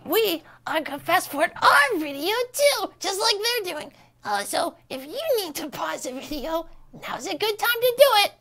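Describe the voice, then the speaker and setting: A woman voicing an eager, excitable furry monster puppet on a kids' show, exclaiming and narrating each step. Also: extremely high pitch, normal speed, heavily acted. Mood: eager, urgent. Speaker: kids puppet show host. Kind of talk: playful chat while drawing along.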